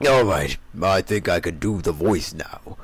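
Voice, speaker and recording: A young man with a hoarse voice, giving casual commentary on a game and rambling through laughing asides. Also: low-pitched, unhurried. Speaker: let's play host.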